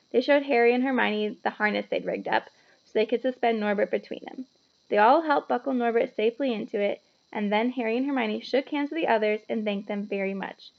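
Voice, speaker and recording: A woman reading a story aloud to children in an animated, explanatory way, with chatty asides. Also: fairly high pitch, vocal fry, muffled recording.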